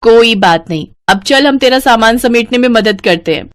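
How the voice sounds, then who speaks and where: A woman's voice: on the high side, animated, normal speed, dry recording, natural voice. A woman voicing a bossy, breezy elder sister-in-law in a cartoon family story. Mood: consoling.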